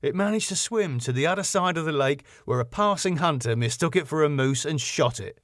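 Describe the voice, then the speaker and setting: A man's voice: on the high side, lively intonation, fairly quick, fairly bright tone, dry recording, clean studio sound, pitched above the performer's own voice. A man voicing a sardonic, ominous and exasperated club manager in a sitcom.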